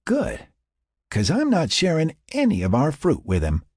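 A man's voice: fairly high pitch, wildly swooping pitch, measured pace, a little mellow, breathy edge, dry recording, studio quality, pitched above the performer's own voice, full character voice. A man voicing a playful, bossy, tipsy young woman with a drunken lilt in an audiobook story. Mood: uneasy, questioning. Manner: drunken lilt, teasing, exclaiming.

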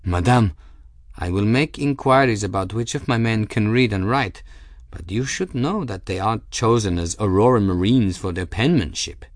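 A man's voice: lowish voice, animated, moderate pace, dry recording, studio quality, lightly acted. A man voicing a deferential military officer in an audiobook, formal, polite and somewhat hesitant. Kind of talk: audiobook character acting.

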